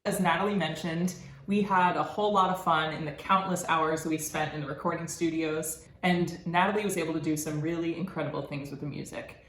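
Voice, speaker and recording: A man's voice: a little high, steady tone, fairly quick, fairly bright tone. Young man presenting in an echoey room. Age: young adult. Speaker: student presenter. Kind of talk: scripted presentation narration. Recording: echoey room, faint background noise.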